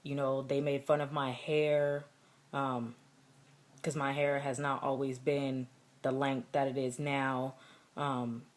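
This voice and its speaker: A young woman with a deep voice, talking earnestly and reflectively in a personal vlog, with many fillers. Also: flat delivery, slow pace. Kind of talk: personal vlog contest entry.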